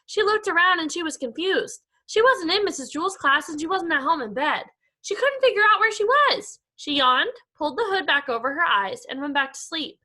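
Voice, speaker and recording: A woman teacher reading aloud to young pupils in an expressive, animated storytelling style. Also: very high-pitched, a little fast. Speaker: elementary school teacher. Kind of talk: animated children's read-aloud.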